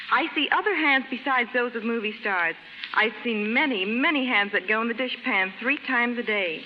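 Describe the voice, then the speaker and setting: A woman delivers a precise, scripted beauty testimonial for 1930s radio. The broadcast sounds dull, with long reverberation and faint hiss. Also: fairly high pitch. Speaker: beauty salon manicurist giving testimonial.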